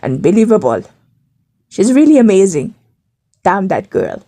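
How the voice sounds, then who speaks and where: An aloof male chief executive in a romance audio drama, played by a woman. The voice is mellow and dark, lower than a young heroine's. He talks curtly, like someone used to giving orders. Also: sing-song, a little slow. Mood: secretly amused. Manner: curt, commanding. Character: stern, aloof male CEO boss, secretly amused.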